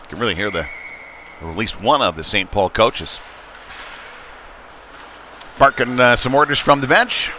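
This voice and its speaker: Male hockey commentator, live youth game call, halting and conversational, folksy, clear background noise of an ice rink. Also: medium pitch, lively intonation, sharp tone. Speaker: play-by-play commentator. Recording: noisy arena, clear rink ambience behind.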